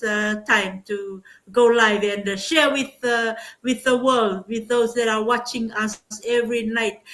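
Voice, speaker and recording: An older woman from Fiji giving an informal, enthusiastic testimonial on an online panel, often pausing as she goes. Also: on the high side, fairly bright tone. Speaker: panel speaker, business mentor.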